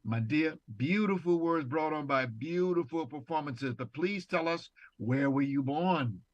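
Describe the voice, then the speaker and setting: A man's voice: a little high, unhurried, dry recording, lo-fi sound. A man from New York hosting an interview show with courteous, formal announcer phrasing. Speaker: talk show host. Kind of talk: interview and introductions.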